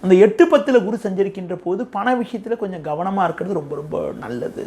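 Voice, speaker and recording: A man with a Tamil Nadu accent presenting an astrology forecast to viewers in a formal, measured, explanatory way. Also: sits high, animated, darkish tone.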